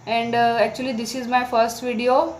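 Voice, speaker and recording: A woman with an Indian accent and Marathi as her native language, speaking halting, simple learner's English in an informal talk to viewers. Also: fairly high pitch, flat delivery. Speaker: English-practice vlogger. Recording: background noise.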